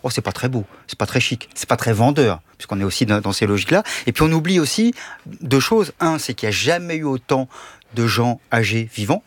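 A man speaking as a guest expert on a radio panel, explaining his points quickly and with animation. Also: medium pitch, lively intonation, no room sound.

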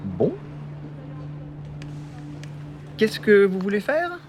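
Earnest young archaeology assistant. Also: male voice, sits high, wildly swooping pitch, unhurried, faint music behind, exaggerated voice. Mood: awkward, polite. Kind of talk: audio drama dialogue.

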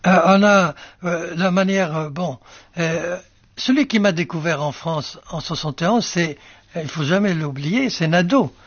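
An older male writer whose first language is Arabic, musing slowly in an interview with many fillers and restarts. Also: fairly high pitch, husky, no echo, highs cut off. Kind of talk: reflective interview answers.

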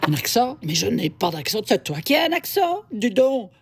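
A woman's voice: on the high side, moderate pace, gravelly, breathy edge, full character voice. A woman voicing a chatty, tired female saxophone with a Belgian French accent in a children's radio drama. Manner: chatty, exclamatory, theatrical, lively. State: tired after a night of playing.

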